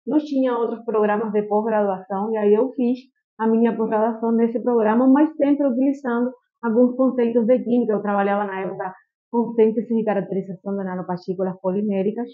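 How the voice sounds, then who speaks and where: A woman from Cuba with a Cuban Spanish accent, a chemistry professor giving measured, explanatory answers full of small fillers as a podcast guest. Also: a little high, steady tone. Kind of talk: podcast interview. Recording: tinny phone-like line.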